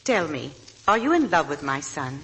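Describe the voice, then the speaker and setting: An actress playing a genteel, blunt, anxiously devoted middle-aged mother, gracious and deliberate, in a 1940s radio drama. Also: low voice, big pitch swings, fairly bright tone, exaggerated voice. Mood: politely probing. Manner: gracious, deliberate, hesitant when uneasy. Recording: noisy vintage 1940s radio broadcast.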